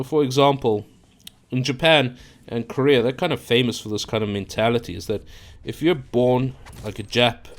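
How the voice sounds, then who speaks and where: A man from Africa, talking casually into his own microphone in an unscripted, rambling monologue full of fillers and restarts. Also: mid-range voice. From South Africa. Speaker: YouTuber. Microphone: own microphone at a computer.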